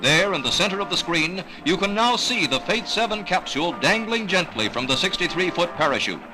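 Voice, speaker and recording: An American man reading scripted 1960s newsreel narration in a dramatic, measured announcer delivery. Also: high-pitched, very animated, poor audio. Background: music.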